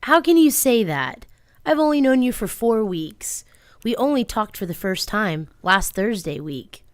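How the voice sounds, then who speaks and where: A woman's voice: medium pitch, very animated, a little slow, dry recording, studio quality. A woman playing a sensible, restrained woman resisting love in an amateur radio play, read plainly from a script. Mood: reluctant, pained.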